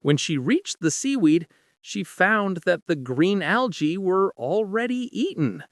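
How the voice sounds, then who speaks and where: A man narrating a bedtime story in a gentle, soft, unhurried way. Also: high-pitched, big pitch swings, dry recording, clean studio sound.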